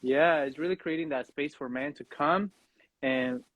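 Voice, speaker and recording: A man talking as a podcast guest in a reflective, earnest, halting way, often checking in with "you know" and "right?". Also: a little high, a bit bright, no room sound, dull audio. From Mexican background. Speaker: podcast guest, fatherhood coach. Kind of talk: reflective personal conversation.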